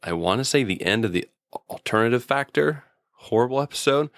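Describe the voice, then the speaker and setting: A man's voice: medium pitch, lively intonation, a little fast, fairly bright tone, dry recording, clean studio sound. A man co-hosting a podcast, chatting casually about TV episodes in a wry, easygoing manner. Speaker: podcast co-host. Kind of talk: casual conversation and episode review.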